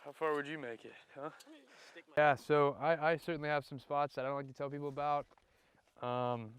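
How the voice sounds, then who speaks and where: A man's voice: fairly high pitch, steady tone, normal speed, clear, resonant tone. A man who hunts fossils, chatting casually in an interview. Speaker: fossil hunter.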